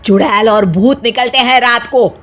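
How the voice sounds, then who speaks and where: A woman's voice: fairly high pitch, moderate pace, a bit bright, lightly acted. A woman playing a greedy, stern and suspicious older mother-in-law in a comic story, with theatrical scolding and exclamations. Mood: suspicious, sarcastic. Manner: scolding, exaggerated exclamations, sarcastic. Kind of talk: Hindi comic story acting.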